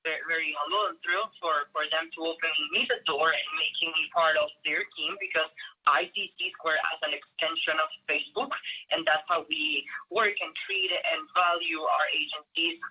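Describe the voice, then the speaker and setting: Grateful man presenting a webinar, bright tone. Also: fairly high pitch, moderate pace, breathy edge. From Guatemala. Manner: earnest, thankful, many restarts and repeated words. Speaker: agency partner presenting in a webinar. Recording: muffled lo-fi audio.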